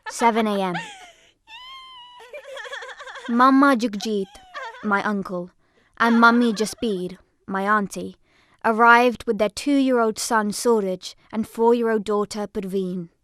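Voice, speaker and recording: A woman playing an eager young Punjabi village girl, telling her story in expressive first-person dramatic narration. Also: mid-range voice, a little slow, dry recording, close to an everyday voice. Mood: lively.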